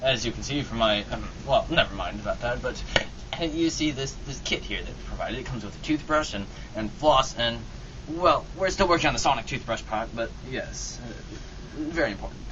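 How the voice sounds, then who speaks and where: A fussy, pompous eccentric acted by a man rambles through an old-time radio comedy, dithering and doubling back. The voice sits at a middling pitch on a dull, noisy amateur recording. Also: a little fast, lightly acted. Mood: fussy, distracted. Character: fussy, rambling, oddball dental-kit enthusiast airship traveler.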